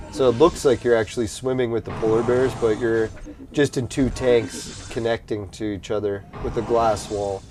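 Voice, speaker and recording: A man hosting a live stream, chatting casually with viewers in a rambling, laid-back way, laughing often and losing his voice. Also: mid-range voice. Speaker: livestream host.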